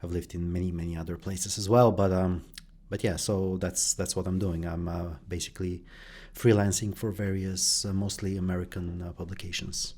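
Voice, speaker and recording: A middle-aged man from Bulgaria answering interview questions about his work, pausing often with fillers and restarts. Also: sits low, level tone, darkish tone, husky. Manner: hesitant, many fillers and restarts. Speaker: guest, journalist and professor.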